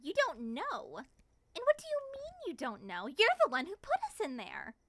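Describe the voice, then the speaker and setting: A woman voicing an excitable, suspicious moth monster woman with theatrical, expressive delivery in an ASMR roleplay. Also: high voice, moderate pace, slight background noise, heavily acted. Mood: suspicious, agitated.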